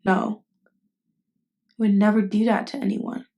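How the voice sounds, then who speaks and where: A woman's voice: mid-range voice, little pitch movement, measured pace, gravelly, mellow, dull audio. A woman voice acting a caring, indignant best friend in an intimate ASMR roleplay, speaking casually and bluntly. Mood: earnest, subdued. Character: protective, indignant female best friend comforting someone. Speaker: voice actress.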